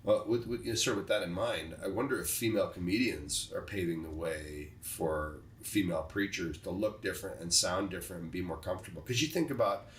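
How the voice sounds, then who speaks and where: A man's voice: on the low side, fairly bright tone. A man hosting a podcast, a preaching coach with an American accent, talking in a chatty, joking way and thinking aloud as he goes. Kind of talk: casual podcast conversation. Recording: roomy echo, distant mic, light noise.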